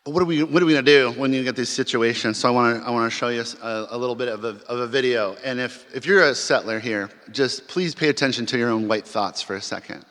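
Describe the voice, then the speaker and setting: A man's voice: mid-range voice, fast talker, few pauses, a bit bright. A man speaking to a symposium audience, telling a personal story in a conversational way with frequent restarts and fillers. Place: large chapel. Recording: long hall reverb.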